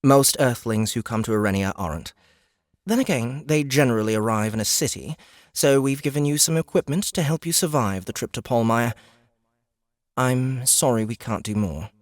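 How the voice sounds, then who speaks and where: A man's voice: mid-range voice, dry recording, studio quality, pitched above the performer's own voice, close to an everyday voice. A man voicing a composed, formal female guide from another world in a fantasy audiobook, patient and faintly judgmental. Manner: measured, formal, explanatory.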